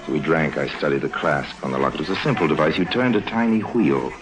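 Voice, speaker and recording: Smooth scheming con man, deep voice, urbane, old radio drama, faint music behind. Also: fast. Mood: sly, confiding. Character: suave middle-aged scheming swindler, smooth charmer and narrator. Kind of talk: 1950s radio drama acting, wry confidential narration.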